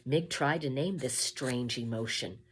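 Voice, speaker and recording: A woman reading a children's story aloud expressively, with deliberate emphasis, addressing the listener directly. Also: very deep voice, a bit bright, slight background noise.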